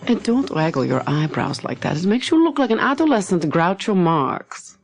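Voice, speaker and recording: Woman acting a sharp, indignant older woman doctor. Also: fairly low pitch, very animated, normal speed, faint music behind, pitched above the performer's own voice. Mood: scolding. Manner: snappish, emphatic, cutting. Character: sharp, indignant woman doctor of fifty-eight, severely logical.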